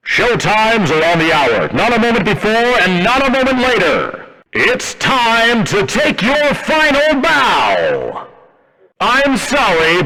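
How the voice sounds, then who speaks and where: A man's voice: high voice, pushed and bright, exaggerated voice. Swooping, echoing theatrical robot showman. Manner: pompous, stately. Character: flamboyant animatronic stage showman, theatrical and menacing. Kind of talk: grand theatrical villain monologue.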